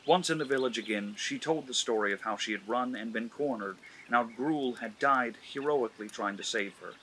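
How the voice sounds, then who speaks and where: A man's voice: medium pitch, fairly quick, bright, forceful tone, slight background noise, low-quality recording. A man hosting a comedy channel, giving an erotic story a mock-serious dramatic reading. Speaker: narrator and host.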